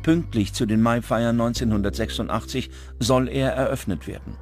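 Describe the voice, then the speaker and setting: A male documentary narrator with a mid-range voice reads a script in measured, dramatic phrases. It is a studio voice-over, with music playing clearly underneath. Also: mid-range voice, steady tone, a little mellow.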